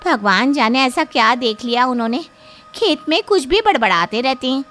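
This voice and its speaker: An actress as shrill, anxious village wife. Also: female voice, expressive, normal speed, a bit bright, soft background music. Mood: apologetic worry. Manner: pleading, fretful, dramatic. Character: anxious village farmer's wife and protective young mother. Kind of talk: Hindi horror cartoon story acting.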